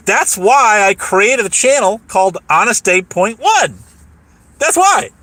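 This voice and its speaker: A hoarse man rambling casually on a livestream, boastful, repeating himself and laughing mid-sentence. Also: sits high, very animated, unhurried, sharp tone, no echo.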